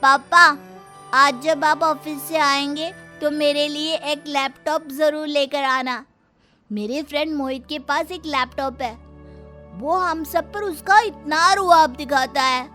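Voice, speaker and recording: A woman's voice: high voice, fairly even intonation, takes it slowly, bright, forceful tone, soft background music, exaggerated voice. A woman voicing a sulky, demanding, whiny schoolboy in a cartoon story. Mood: pleading.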